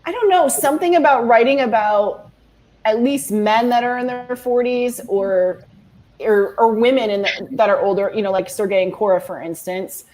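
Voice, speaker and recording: A woman's voice: a little high, moderate pace, a little mellow, slight background noise, rough recording. A woman in her forties, a guest author chatting casually, rambling with many restarts and fillers. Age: 40s. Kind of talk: casual video-call chat.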